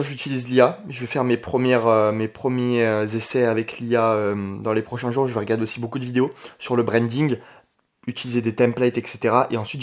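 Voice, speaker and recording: Young adult male, medium pitch. Online creator delivering an informal, emphatic solo vlog with repeated key phrases. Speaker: online entrepreneur and video creator. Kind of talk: casual vlog monologue.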